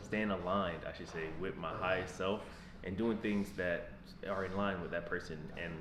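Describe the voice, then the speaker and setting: Mid twenties guy rambling to his camera, deep voice, noisy background. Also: moderate pace. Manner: rambling, repeats phrases, relaxed. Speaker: vlogger. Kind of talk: casual vlog talk to camera. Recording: echoey room with background noise.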